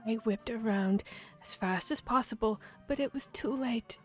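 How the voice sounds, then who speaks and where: A woman playing a boastful, playful vampire hunter in a fantasy audio roleplay, speaking in a theatrical, expressive storytelling manner. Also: a little high, normal speed, close to an everyday voice. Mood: dramatic, proud. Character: boastful, playful female vampire hunter telling tavern tales. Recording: music behind the voice. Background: background music, tavern ambience.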